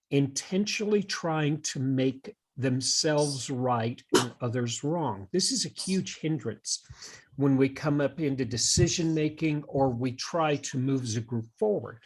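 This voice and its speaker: A man with a slightly husky voice co-hosting a conversational podcast, explaining ideas in a thoughtful, halting way like a teacher. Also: medium pitch.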